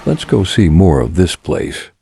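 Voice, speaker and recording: A very deep, gravelly creature drawls slowly through the streets, a synthetic voice dropped far down. Clear background noise sits behind him. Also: male voice, sing-song, exaggerated voice. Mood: curious.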